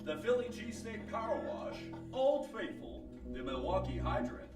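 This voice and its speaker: A performer playing a slick, boisterous sandwich pitchman in a parody old-time radio commercial, delivering hammy salesman patter. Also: very animated, normal speed, close to an everyday voice. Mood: gleeful, hammy. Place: live studio broadcast before an audience. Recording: roomy live stage, distant mic, loud music.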